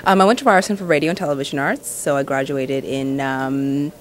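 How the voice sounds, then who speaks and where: A woman in her thirties with a low voice answers interview questions as a TV host. She talks in a relaxed, chatty way, often saying "you know" and "um". A faint noise sits behind her. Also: fairly quick. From Canada, Nova Scotia.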